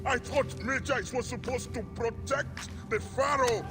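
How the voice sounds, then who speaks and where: An actor playing a hostile henchman in a game cutscene, slow, taunting and threatening. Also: extremely high pitch. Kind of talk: dramatic video game cutscene acting. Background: dramatic music.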